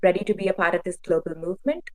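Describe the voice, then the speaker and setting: A woman giving a polished, promotional scripted pitch narration. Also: animated, rapid-fire, darkish tone, dry recording.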